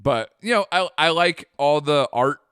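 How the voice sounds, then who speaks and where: A man's voice: a little high, expressive, moderate pace, a bit bright, clean tone, no room sound, clean studio sound. A man co-hosting a podcast, talking loosely in casual chat, swearing now and then and trailing off. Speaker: podcast co-host.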